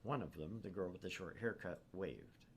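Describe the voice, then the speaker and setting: A man voicing a haunted young male student who narrates his anguish in a brooding, measured way in a visual novel. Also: low voice, animated. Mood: weary. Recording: clear background noise.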